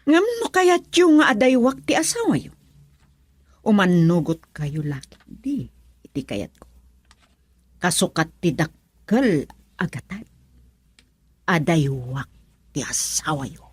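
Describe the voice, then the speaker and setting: Taunting, husky Filipino mistress. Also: female voice, fairly low pitch, very animated, no echo, heavily acted. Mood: mocking, defiant. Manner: taunting, drawn-out, swinging delivery. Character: scheming, mocking mistress bent on revenge. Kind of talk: radio drama acting.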